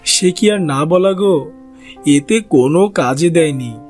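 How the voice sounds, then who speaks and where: A man voicing a humble, earnest village potter in a cartoon, gentle and resigned. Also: on the high side, lively intonation, takes it slowly, faint music behind.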